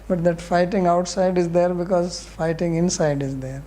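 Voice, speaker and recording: Indian-accented male spiritual teacher, dark mellow tone, direct and explanatory. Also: sits high, steady tone, moderate pace, slight background noise. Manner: blunt, questioning, explanatory. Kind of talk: scripture class question and answer.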